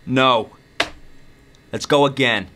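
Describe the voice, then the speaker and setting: A man's voice: medium pitch, slow pace, background noise, close to an everyday voice. A man playing a sulky, game-obsessed slacker boyfriend with a grumbling, defensive manner in an audio drama. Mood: muttering, focused.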